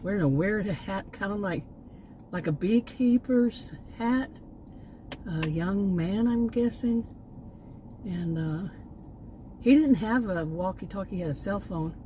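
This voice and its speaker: A woman's voice: medium pitch, lively intonation, measured pace, long pauses, dark tone, slight background noise. A middle-aged woman from Texas chatting casually to her camera outdoors near a park, meandering and repeating words as she trails off. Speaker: vlogger. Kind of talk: casual rambling chat to camera.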